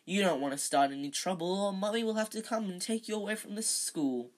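A woman's voice: medium pitch, animated, pitched above the performer's own voice, lightly acted. A woman voicing a spiteful schoolboy bully, mocking and scathing, in a storybook reading. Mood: sneering. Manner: loud, drawn-out, mocking.

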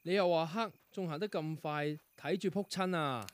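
A man's voice: fairly high pitch, normal speed, very noisy, lightly acted. An actor playing a weary, grumbling young male composer in a radio drama. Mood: exasperated. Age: young adult. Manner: grumbling, dry, sighing. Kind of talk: Cantonese radio drama acting.

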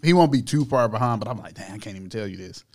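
A man's voice: lowish voice, expressive, quick pace. A man hosting a podcast, talking loosely in slang and often repeating himself. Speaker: podcast host. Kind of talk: casual chat.

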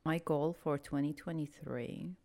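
Low-voiced woman in her late twenties. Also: steady tone, measured pace, mellow. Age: late 20s. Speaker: podcast co-host. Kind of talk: casual chat among friends.

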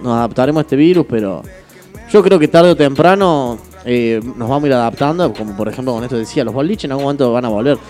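A man in his twenties with an Argentine accent, hosting a casual podcast chat with quick jokes. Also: medium pitch, soft background music. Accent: Argentine Spanish. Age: 20s. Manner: fast, joking, animated storytelling. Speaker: radio podcast host.

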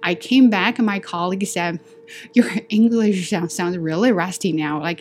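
A woman's voice: fairly low pitch, slight background noise. Chatty woman with a Chinese accent. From China. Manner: chatty, animated, acts out dialogue. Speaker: podcast host. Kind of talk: casual solo storytelling.

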